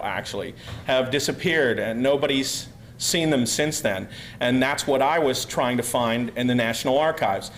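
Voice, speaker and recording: Loud guy from pennsylvania giving a talk, lots of ums and restarts, mid voice, faint hiss. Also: mid-range voice. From Pennsylvania, USA. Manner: loud, rambling, many fillers and restarts. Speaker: guest speaker. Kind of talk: lecture to a live audience.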